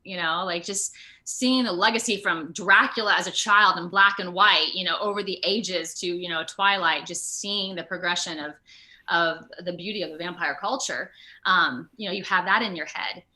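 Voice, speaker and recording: A woman actor talking casually as an interview guest, animated and quick to act out remarks. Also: on the low side, poor audio. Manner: animated, acts out quoted remarks.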